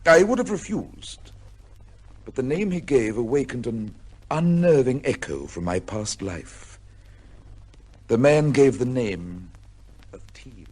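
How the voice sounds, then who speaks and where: An actor playing a proud, severe middle-aged judge with a secret life, speaking formally in an old-time radio drama. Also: male voice, mid-range voice, expressive, darkish tone, slightly rough. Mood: grave, brooding. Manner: formal, measured, pompous. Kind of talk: old-time radio drama acting, with first-person narration.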